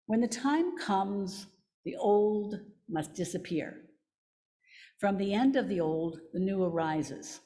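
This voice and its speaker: A woman giving a measured, solemn reading as a lay reader at a church service. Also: mid-range voice, expressive, warm tone. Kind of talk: scripture and quotation reading.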